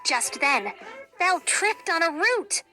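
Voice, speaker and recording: A woman reads a children's storybook with a high, bright voice. Her delivery is expressive and dramatic, taking its time over each phrase. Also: faint music behind. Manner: expressive, deliberate. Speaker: storybook reader.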